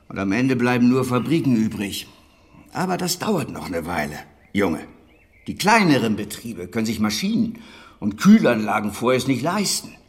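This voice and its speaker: Radio drama: male actor as middle-aged master farmer, gruff but hearty, wry, plain-spoken, naturalistic delivery. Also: medium pitch, lightly acted. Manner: earthy, colloquial, wry, chuckling asides. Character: gruff, hearty older dairy master, fatherly to his former apprentice.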